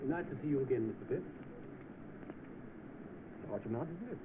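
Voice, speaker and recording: A polite, cautious bank cashier played by a man in a 1930s film. He speaks formally at a medium pitch. The sound is thin and noisy. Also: animated, normal speed, narrow, tinny audio, lightly acted. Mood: courteous, suspicious. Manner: formal, hesitant.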